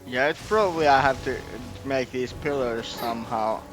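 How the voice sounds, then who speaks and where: A man's voice: medium pitch, normal speed, over music. A man giving casual, unscripted gameplay commentary, half muttering to himself as he plays. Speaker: gaming streamer playing Genshin Impact. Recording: noticeable background noise.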